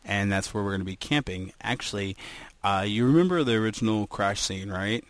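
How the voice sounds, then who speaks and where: A man's voice: lowish voice, fairly quick, dry recording. A man co-hosting a podcast in casual, joking chat. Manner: joking, does silly voices. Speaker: podcast co-host.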